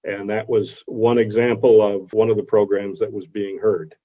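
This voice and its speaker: A man's voice: fairly low pitch, level tone, normal speed, dark tone, a little hiss or hum. A man giving an explanatory history lecture in a somewhat hesitant manner, recorded over a video call. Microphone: video call microphone. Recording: dull video call audio.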